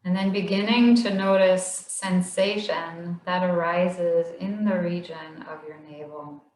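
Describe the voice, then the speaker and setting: Woman, meditation guide, very slow, soft and soothing, low-ish voice, echoey room. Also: fairly even intonation. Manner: slow, gentle, soothing guidance with long pauses. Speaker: meditation instructor. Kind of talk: guided body scan meditation.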